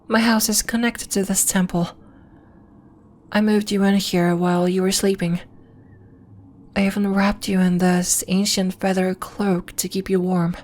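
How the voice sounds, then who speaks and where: A woman playing a serene, solemn alien moon healer in an ASMR roleplay, speaking soothingly in formal, deliberate phrases. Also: medium pitch, flat delivery, darkish tone, natural voice. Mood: gentle, casual. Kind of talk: ASMR roleplay, soft scripted monologue addressed to listener.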